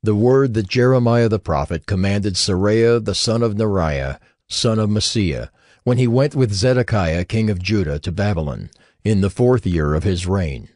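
A man narrating a dramatized scripture reading in a measured, formal manner. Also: fairly low pitch, expressive, a little mellow, dry recording, studio quality. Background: music under the voice.

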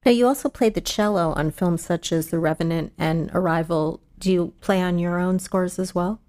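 A woman's voice: lowish voice, steady tone, normal speed, darkish tone. A woman hosting a podcast, putting interview questions to a guest.

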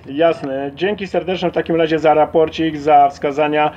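A man's voice: on the high side, steady tone, moderate pace, darkish tone. A man chatting casually as an amateur CB radio operator during a radio contact. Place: at a CB radio set. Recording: dull lo-fi audio, faint radio noise.

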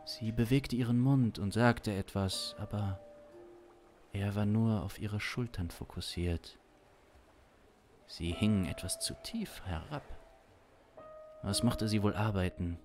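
A man in his thirties improvising a crime story as narrator, deliberate and suspenseful, with long pauses, close on a studio mic. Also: on the low side, a little mellow. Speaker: improvising audio-drama narrator. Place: small studio room. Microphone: close studio condenser mic. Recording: music under the voice. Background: instrumental music.

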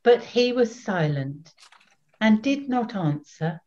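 An older woman reading scripture as narrator in a deliberate, solemn, scripted manner. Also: mid-range voice, expressive, a little mellow, muffled recording. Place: small plain room at home.

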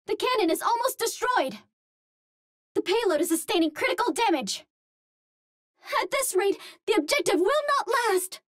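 A woman's voice: very high-pitched, no echo, studio quality. A woman playing a cute, earnest young female Martian hero in a video game, speaking in precise, formal phrases. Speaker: voice actor in a hero shooter game. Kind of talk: video game character voice lines.

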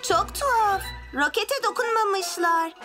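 A squeaky cartoon kid hero. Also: female voice, measured pace. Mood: lively. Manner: lively, exclamatory. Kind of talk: cartoon dub acting. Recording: loud music score underneath.

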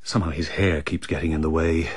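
A man's voice: rumbling low, steady tone, fast talker, breathy edge, dry recording. A man with an English accent playing a troubled, conflicted government minister in a radio drama, confiding, hesitant and wry.

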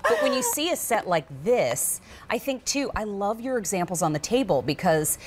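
A woman's voice: medium pitch, big pitch swings, normal speed, heavy background noise. A middle-aged woman hosting a live home shopping show in a studio kitchen, with upbeat, enthusiastic sales patter. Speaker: shopping channel host.

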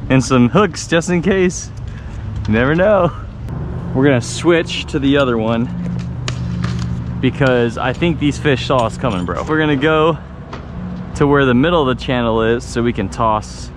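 A young man chatting excitedly and laughing as he fishes from a pier, talking in casual slang. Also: medium pitch, normal speed, long pauses. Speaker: fishing vlogger. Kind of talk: casual chat while fishing. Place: outdoors on a concrete bridge pier over a bay. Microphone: action camera. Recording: outdoor action-camera audio with loud wind noise. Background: wind and water.

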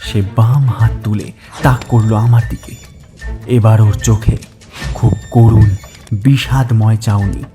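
A man narrating a horror story in the first person, suspenseful and dramatic, with halting pauses. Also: lowish voice, steady tone, over music.

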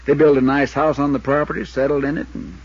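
Casting: male actor as long-winded, folksy insurance agent for 1950s radio drama; husky, muffled vintage sound. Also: mid-range voice, flat delivery, lightly acted. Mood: wry, explanatory. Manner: folksy, drawn-out storytelling.

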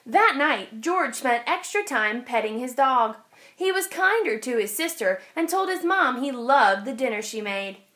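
Casting: female teacher for picture-book read-aloud, high voice, expressive, very lively intonation, clear phrasing. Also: unhurried. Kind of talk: children's storybook read-aloud. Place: indoors, over an open picture book.

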